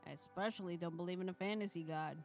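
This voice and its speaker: A woman's voice: lowish voice, quick pace, soft background music. A woman reading a visual novel's first-person narration aloud on a stream, with casual asides.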